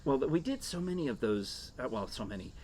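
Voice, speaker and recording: A man's voice: mid-range voice, very animated, quick pace, darkish tone. A middle-aged American man telling anecdotes casually as a talk show co-host, sharing a table mic on a porch. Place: screened porch outdoors. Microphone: shared desktop condenser mic on table. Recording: noisy open-air ambience.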